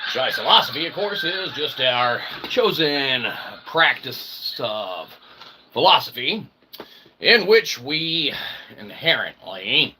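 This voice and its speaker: Man, show host, very lively intonation, folksy showman delivery, rambling comic monologue, theatrical asides, noisy recording. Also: mid-range voice, slow pace. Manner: folksy showman, theatrical asides, often says "okay" and "that's right".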